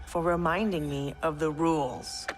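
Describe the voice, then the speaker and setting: A woman's voice: low voice, steady tone, a little slow, over loud music. An actress voicing a tough, hard-edged military mother with a dry manner in a video game cutscene. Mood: dry, focused.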